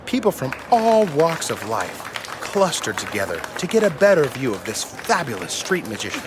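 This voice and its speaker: A man's voice: mid-range voice, very animated, moderate pace, a crowd in the background, background noise. A man narrating a family audio drama as a scripted storyteller. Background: music.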